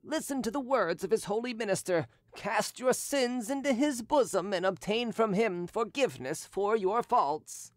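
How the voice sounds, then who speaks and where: A woman's voice: a little high, animated, normal speed, few pauses, dry recording, clean studio sound, voice pushed up, lightly acted. A woman voicing a falsely pious male poisoner. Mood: solemn prayer. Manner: hushed, solemn praying.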